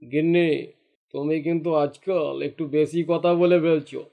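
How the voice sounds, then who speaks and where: A slightly muffled recording of a spiteful village fisherman, played by a man in a cartoon. His voice sits fairly high and he grumbles with jealous, greedy indignation. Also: steady tone, moderate pace, natural voice. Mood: grumbling, jealous. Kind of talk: cartoon dialogue acting.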